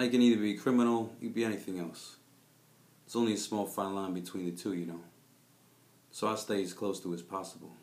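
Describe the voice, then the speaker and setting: Man acting deep-voiced, world-weary noir detective. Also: a little mellow, echoey room, natural voice. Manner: brooding, jaded, confiding storyteller. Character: world-weary, cynical male private investigator in a bleak city. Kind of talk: scripted noir detective monologue.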